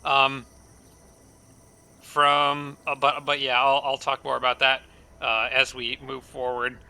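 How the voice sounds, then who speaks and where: A man commentating his strategy game playthrough, thinking aloud with many fillers and restarts, his voice a little raspy from a dry throat. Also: mid-range voice, monotone, slow, sharp tone. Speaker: strategy game let's-play host. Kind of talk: casual gameplay commentary.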